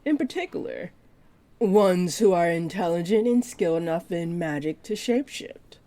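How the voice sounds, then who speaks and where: Woman voicing a part-dragon librarian, possessive and flirty, lowish mellow voice, intimate teasing delivery, audio roleplay. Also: lively intonation, normal speed, close to an everyday voice. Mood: chatty, explaining. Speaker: voice actor. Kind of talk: roleplay audio drama monologue to listener.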